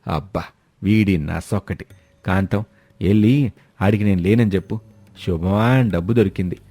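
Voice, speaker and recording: A man's voice: low-pitched, normal speed, warm tone. A man voicing a cunning village husband in a story, brazen, colloquial and dismissive. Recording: music behind the voice.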